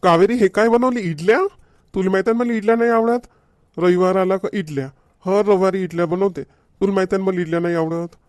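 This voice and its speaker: A man's voice: high voice, steady tone, fast talker, a little mellow, no echo, studio quality, natural voice. A man playing a grumbling, demanding village husband in a comedy cartoon, with a Varhadi Marathi accent and a nagging, repetitive manner. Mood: annoyed, insistent. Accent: Varhadi Marathi from Vidarbha.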